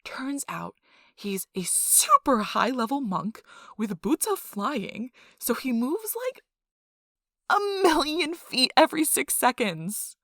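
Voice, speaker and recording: A woman playing an excitable, nerdy young girlfriend who rambles casually about her tabletop game in an animated ASMR role-play. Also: sits high, slow, dry recording, studio quality, exaggerated voice. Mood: excited, dramatic. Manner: animated, breathless emphasis, frequent "like" asides.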